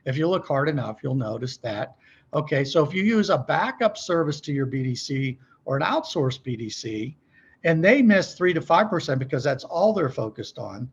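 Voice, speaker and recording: A man's voice: fairly high pitch, sing-song, moderate pace, husky, lo-fi sound. A middle-aged man speaking as a podcast guest, explaining business practice conversationally in a folksy way, often posing questions and checking in with the listener. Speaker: podcast guest, company executive.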